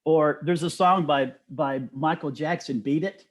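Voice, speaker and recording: Higher-pitched middle-aged man chatting casually. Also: a little slow. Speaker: interview guest, poet. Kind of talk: casual storytelling interview.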